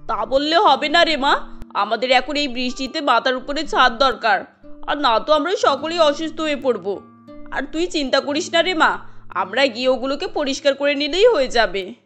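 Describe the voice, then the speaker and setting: A woman acts out a careworn cartoon mother. Her voice is high, and she talks in a motherly, urging way with a fretful edge. There is light music underneath. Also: expressive, moderate pace, fairly bright tone. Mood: reassuring, concerned. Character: poor, caring, careworn mother of five daughters. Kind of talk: Bengali cartoon dialogue.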